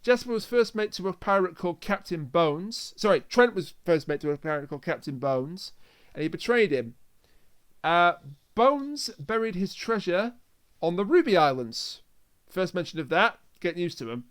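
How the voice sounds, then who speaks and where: A man with a high, clear voice talks as a podcast co-host. He recaps a story casually and banters along the way, his explanations coming in halting pieces. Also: big pitch swings.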